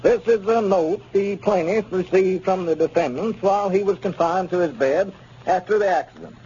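An actor playing a formal, pressing small-town lawyer in a 1930s radio comedy, questioning deliberately. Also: male voice, sits high, warm tone, husky. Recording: muffled 1930s radio recording.

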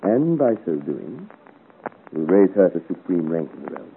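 A deep-voiced, deferential nobleman's henchman. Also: sing-song, moderate pace, phone-quality sound, full character voice. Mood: deferential, informative. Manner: deferential, smooth-worded, formal. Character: obsequious, efficient male henchman to a nobleman. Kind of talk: 1950s radio serial acting. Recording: thin, noisy old radio recording.

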